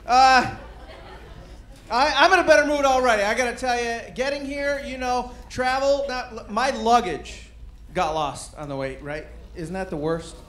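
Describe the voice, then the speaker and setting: A middle-aged man doing a stand-up comedy set on a club stage, deadpan and self-deprecating, with rambling asides. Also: extremely high pitch, unhurried. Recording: roomy live club with crowd noise. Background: audience murmur and laughter.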